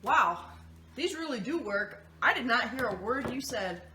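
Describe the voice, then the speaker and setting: A woman's voice: on the high side, expressive, unhurried, sharp tone, echoey room, a little hiss or hum. A woman hosting a children's story time, addressing young listeners and reading a picture book aloud. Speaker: children's story host and reader.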